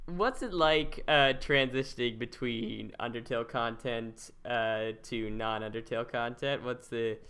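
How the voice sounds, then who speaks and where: A man hosting a casual podcast interview, talking in a rambling, halting way and often repeating his words. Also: medium pitch, fairly even intonation, a bit bright, clean tone. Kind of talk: casual chat.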